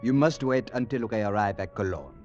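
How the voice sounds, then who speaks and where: An actor playing an officious, precise European train conductor, formal and fussy, in 1940s radio style. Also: male voice, lowish voice, expressive, fairly quick, darkish tone, lo-fi sound, faint music behind, lightly acted.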